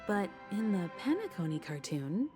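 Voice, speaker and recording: Actress as a thoughtful, measured young woman. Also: medium pitch, sing-song, lightly acted. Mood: calm, reflective. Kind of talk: video game voice acting. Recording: game music behind the voice.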